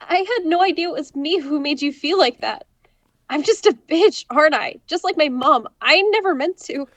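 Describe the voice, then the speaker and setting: A woman's voice: high voice, moderate pace, dry recording, lightly acted. A young woman acting a casual, self-critical girl in an audio drama, with an informal way of talking. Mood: remorseful. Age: young adult.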